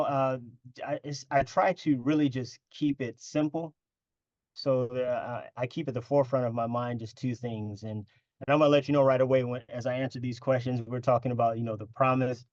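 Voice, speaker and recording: A middle-aged man from the United States, a podcast guest who speaks in an earnest, measured way with fillers and repeated words. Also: medium pitch, a little mellow, no room sound, lo-fi sound. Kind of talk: casual interview.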